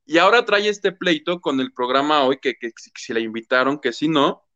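A man's voice: a little high, expressive, moderate pace, pushed and bright, lo-fi sound. A man hosting a gossip show, telling the story animatedly and acting out quotes. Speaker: show host. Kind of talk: casual gossip commentary.

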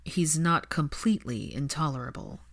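A guarded, grudging father in an audio story, played by a woman narrator. He speaks tersely and dryly in a slightly low register, as if reluctant to give ground. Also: lively intonation, moderate pace, creaky, heavily acted. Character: reserved, grudging, dry adult man, a protective father.

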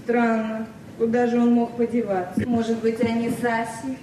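A high female voice in an echoey, noisy old radio play: an actress as a meddling, needy official. She wheedles like a close friend and talks with self-important bureaucratic fussiness. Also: monotone, normal speed, warm tone, distant mic, natural voice. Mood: prying, touchy. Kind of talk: Soviet 1970s radio drama acting.

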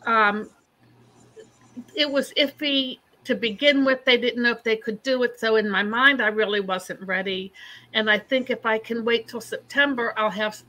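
A woman's voice: a little high, bright, forceful tone, low-quality recording. A woman chatting casually on a live show, rambling about her life and calling people "honey". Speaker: psychic host.

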